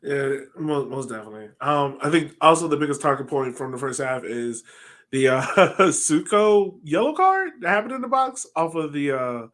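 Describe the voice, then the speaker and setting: A man's voice: on the high side, sing-song, normal speed, a bit bright. An American man in his thirties chatting casually about sports as a podcast host on a video call. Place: home room, indoors, on a video call.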